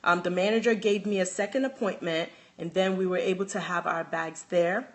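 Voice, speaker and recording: A woman's voice: on the low side, fairly even intonation, a little fast. A young woman with a Nigerian accent, a handbag designer chatting casually on a phone livestream, restarting sentences and reading out viewer comments.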